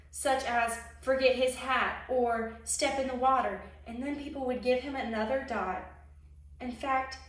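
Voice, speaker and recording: A woman reading a children's story aloud expressively, pausing to put questions to young listeners. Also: fairly high pitch. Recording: very echoey room, distant microphone.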